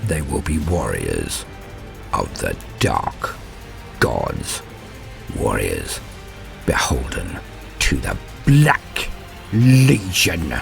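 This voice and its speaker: A very deep-voiced, ruthless warrior of the dark gods, played by a man, narrates a war story with zealous menace. His grandiose delivery swings widely in pitch over faint music. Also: huge pitch swings, drawn-out words, creaky, pauses often, exaggerated voice. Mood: cold triumph. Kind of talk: dramatic first-person war story narration.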